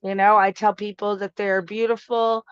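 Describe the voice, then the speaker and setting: A woman's voice: mid-range voice, flat delivery, moderate pace, clear, resonant tone, no echo, muffled recording. A woman co-hosting a casual live stream, upbeat and chatty, often saying "you know". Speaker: co-host.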